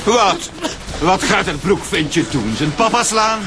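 A man's voice: high voice, lively intonation, slow. An actor playing a drunken, taunting, cynical Flemish schemer in a radio drama, mocking and gloating as he talks. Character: drunken, taunting man, cynical schemer after a woman's money.

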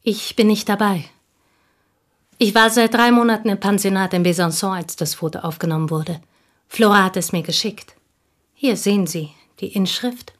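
An actress playing a sober provincial woman, a devoted childhood confidante, in a German radio drama, speaking in a measured, wistful way. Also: mid-range voice, natural voice. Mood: reminiscing. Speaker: actor in a radio play. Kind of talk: German radio drama acting, reflective storytelling.

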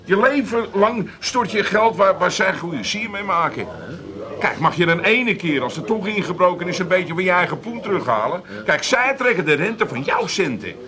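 Animated, glib Amsterdam schemer. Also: male voice, sits high, normal speed, a crowd in the background. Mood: glib persuading. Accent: Amsterdam Dutch. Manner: glib, insistent. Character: slick, persuasive, shady Amsterdam schemer. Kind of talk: comic radio play acting.

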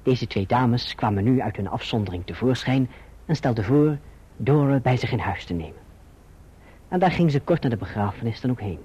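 Earnest young gentleman, muffled recording. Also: medium pitch, expressive, husky. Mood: reflective, wistful. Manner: formal, measured literary diction. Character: earnest, gentlemanly young Englishman narrating his own story. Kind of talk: literary radio drama narration and dialogue. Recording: muffled, dull old radio drama recording.

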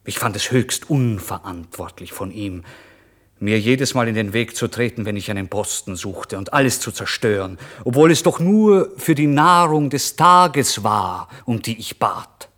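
An actor playing a tormented, starving man, bitter and brooding, in a deliberate, theatrical radio-play monologue. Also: lowish voice, lively intonation, a bit bright. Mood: anguished, bitter. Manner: brooding, deliberate, theatrical, swinging between murmur and outburst. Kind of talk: dramatic first-person literary monologue for radio play.

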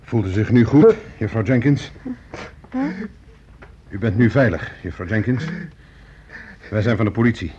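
Low-voiced police chief man acting. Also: dark tone, close to an everyday voice. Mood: calm, reassuring. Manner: authoritative, measured. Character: grave senior police officer, steady and in charge. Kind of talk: radio drama acting. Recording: muffled, noisy old radio play recording.

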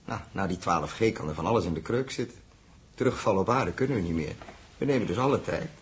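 An actor playing a calm, reflective male spaceship captain in a 1960s Dutch radio drama. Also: low-pitched. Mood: calm command. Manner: measured, musing, commanding.

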